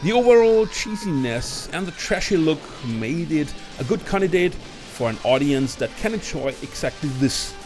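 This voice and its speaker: A man's voice: on the high side, expressive, moderate pace, over music. A man hosting a countdown video, reading a scripted film review as narrator. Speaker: video list host and narrator.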